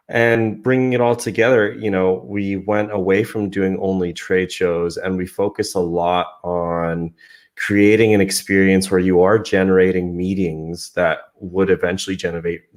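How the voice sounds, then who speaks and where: A man from San Francisco, a digital marketer, explaining his work as a guest in a casual video-call interview. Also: low-pitched. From San Francisco, United States. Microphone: podcast-style microphone.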